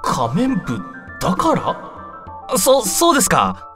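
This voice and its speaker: A man's voice: high voice, wildly swooping pitch, slow, fairly bright tone, exaggerated voice. A man acting a timid, bewildered teenage boy, a reluctant newcomer with a hesitant, stammering manner, in an amateur voice drama. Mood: polite, unsure. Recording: music under the voice.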